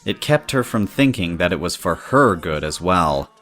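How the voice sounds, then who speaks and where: A man's voice: sits low, animated, moderate pace, fairly bright tone, faint music behind. A man reading scripted story narration in the third person, as the narrator of an audio drama.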